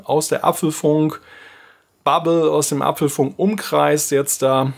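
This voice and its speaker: A man's voice: on the high side, fairly even intonation, slow, creaky. A man in his forties hosting a casual tech vlog from a home office, chatty and thinking aloud with fillers. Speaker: tech video host. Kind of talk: casual talking-head vlog. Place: home office with desk and monitor. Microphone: camera framed at close head-and-shoulders.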